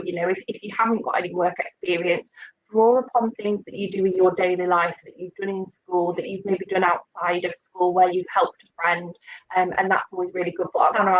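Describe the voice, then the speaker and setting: A woman's voice: lowish voice, dull audio. A young woman, an apprentice on a panel, chatting in a friendly way and speaking straight to students. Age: young adult. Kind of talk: casual webinar talk.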